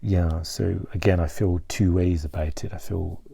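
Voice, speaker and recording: A man speaking softly and haltingly in a confessional vlog, searching for words and at times trailing toward a whisper. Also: deep voice, fairly even intonation, creaky. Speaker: mental health vlogger.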